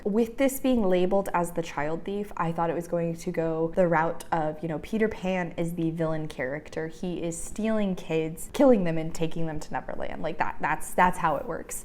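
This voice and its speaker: A woman's voice: medium pitch, darkish tone. A woman giving a casual book review in a candid, emphatic, conversational way, as a vlogger talking to her audience.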